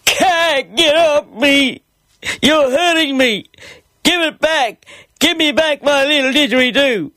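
A man voicing a tearful, excitable little boy who cries out pleadingly in a children's story. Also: quick pace, no echo, clean studio sound. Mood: distressed, pleading. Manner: crying out in a high pleading voice.

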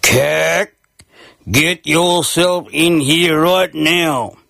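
A man voicing a stern father who speaks slowly and deliberately with quiet command in a children's story. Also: on the high side, creaky, no room sound, clean studio sound, pitched above the performer's own voice, heavily acted. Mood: annoyed.

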